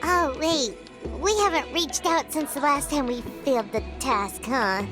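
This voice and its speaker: A woman voicing a tiny, chirpy, childlike fairy companion in a video game, with a squeaky, bubbly delivery. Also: measured pace, music in the background. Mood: friendly, curious. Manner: bubbly, exclamatory.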